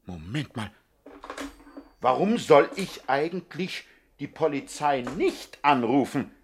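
Suave master thief and gang boss, medium-pitched, commanding, drily theatrical, radio comedy. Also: male voice, very animated, slow, slight background noise. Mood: brisk, ironic. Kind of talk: 1960s German radio comedy acting.

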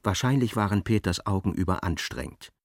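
A man's voice: sits low, moderate pace, dry recording, studio quality. A man narrating a literary radio play in a dramatic, scripted style.

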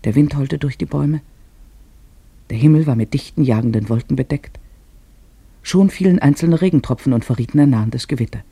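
A man narrating a literary radio play in a measured storytelling manner. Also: medium pitch, creaky, dark tone, breathy edge, no echo.

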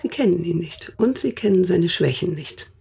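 A stern, protective sister in a radio drama. Her voice is low and very husky, with a gravelly edge, and she speaks in blunt, formal, commanding phrases. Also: animated, normal speed, mellow, exaggerated voice. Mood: stern, insistent. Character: stern, protective older sister of a famous artist. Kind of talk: German radio drama acting.

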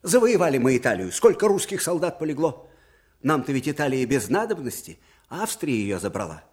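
A man's voice: medium pitch, big pitch swings, a little fast, a little mellow, close to an everyday voice. An older man playing an ageing, fatherly Russian field marshal with old-fashioned folksy speech in a theatrical radio drama. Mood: agitated, bitter. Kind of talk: Soviet radio drama acting.

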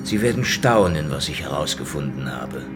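An actor playing a hardboiled, cynical Soviet secret-police detective, talking in a dry, sardonic, probing way. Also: male voice, a bass voice, sing-song, normal speed, gravelly, loud music behind the voice, heavily acted. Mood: bargaining. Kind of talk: radio drama acting.

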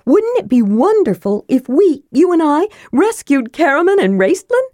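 A woman's voice: high-pitched, sing-song, normal speed, darkish tone, no room sound, clean studio sound, voice pushed up. A woman voicing a small, curious and chatty male kender adventurer in a fantasy audiobook, with earnest, childlike enthusiasm. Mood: eager.

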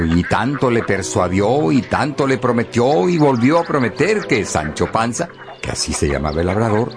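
A man narrating a scripted radio drama in a theatrical, emphatic storytelling style. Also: deep voice. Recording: loud music bed under narration.

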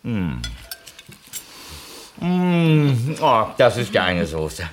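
An actor playing a polite, educated publisher's editor who speaks tactfully and effusively in a radio play. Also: male voice, medium pitch, sing-song, a little hiss or hum. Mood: delighted, appreciative. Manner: courteous, effusive, carefully measured. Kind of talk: naturalistic radio play dialogue.

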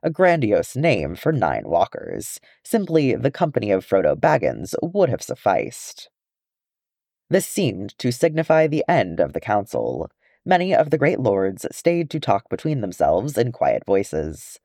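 A man reading a fantasy story aloud as expressive scripted narration. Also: lowish voice, a little slow, creaky, dry recording, studio quality. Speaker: audiobook reader of fan fiction.

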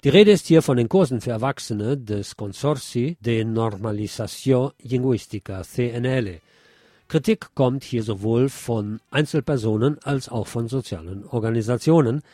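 A man's voice: medium pitch, warm tone, dry recording. Man reading radio news over music.

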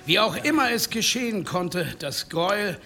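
A man acting an emphatic village headman in a German radio drama, firm and urging calm with authority. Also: high-pitched, moderate pace. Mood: grave, urgent. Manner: emphatic, declamatory.

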